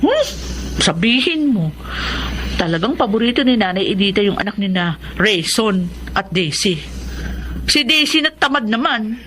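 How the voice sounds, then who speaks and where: A woman's voice: medium pitch, sing-song, normal speed, pauses often, a bit bright, slight background noise. A woman playing an emotional Filipino sister and wife in a family radio drama. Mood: resentful.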